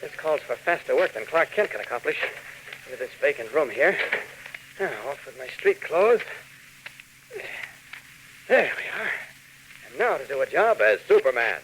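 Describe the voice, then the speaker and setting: A man playing an earnest reporter with a secret heroic side in 1940s radio acting, animated, on a hissy old recording. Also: on the high side, normal speed, pauses often, low-quality recording. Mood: resolute, heroic.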